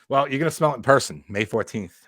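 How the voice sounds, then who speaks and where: Male podcast host, relaxed and loose, mid-range voice, dry recording, laughs a lot. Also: mid-range voice, no echo. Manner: laid-back, swears casually, laughs often. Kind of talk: casual chat.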